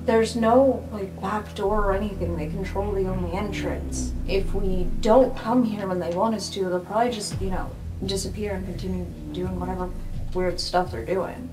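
A woman's voice: mid-range voice, normal speed, distant mic, music in the background, poor audio. A woman playing a roleplaying game, talking through plans casually. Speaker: tabletop game player. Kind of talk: casual in-character planning.

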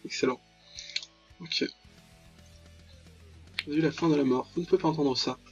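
A man's voice: fairly high pitch, normal speed, muffled recording, soft background music. A young man giving casual, slangy let's play commentary, with mock-dramatic, exclamatory reactions. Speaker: gaming video host.

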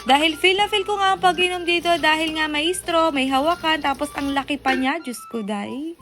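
A high-voiced Filipino woman narrates a vlog in a casual, conversational way. She presents products with little exclamations dropped in. Music runs clearly underneath her voice. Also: moderate pace. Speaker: vlogger showing parcels she received. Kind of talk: casual product review voice-over.

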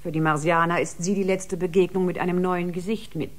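An actress playing a composed, caring ship doctor in a radio drama, speaking in a measured, diplomatic way. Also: female voice, lowish voice, steady tone, lightly acted. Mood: composed, persuasive. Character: composed, caring female ship doctor and scientist, tactfully persuasive.

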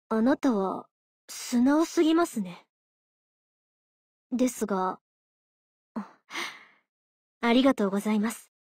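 A stern yet caring lady judge of the afterlife in a game. Her voice is fairly high and she speaks slowly and formally, like someone delivering a lecture. The recording is close and dry. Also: a little mellow, dry recording. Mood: flattered. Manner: formal, polite, measured, preachy. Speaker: voice actress. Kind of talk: Japanese mobile game character voice lines.

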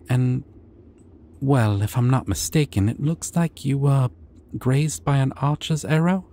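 Man playing a mellow, gentle shy dragon. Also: mid-range voice. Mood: gentle, reassuring. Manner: soft-spoken, hesitant, rambling, tender. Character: shy, gentle, dorky adult male dragon caring for a stranger. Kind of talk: ASMR fantasy roleplay.

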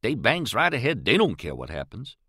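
A man's voice: mid-range voice, wildly swooping pitch, brisk, bright, forceful tone, husky, dry recording, studio quality, exaggerated voice. A man voicing an earnest, pious older male riverman with a drawl, pleading and questioning. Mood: bewildered.

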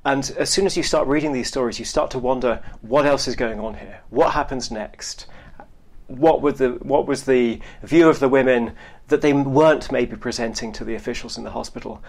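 Man with slightly creaky voice, explaining. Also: medium pitch, normal speed. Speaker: academic historian, interviewee. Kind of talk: interview answers, explanatory.

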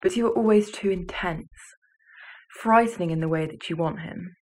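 A young woman recites poetry in a somewhat low voice. Her delivery is measured and serious, recorded on a device in a bedroom. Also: a little mellow, low-quality recording. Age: teenager or young adult. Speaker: student poetry reader.

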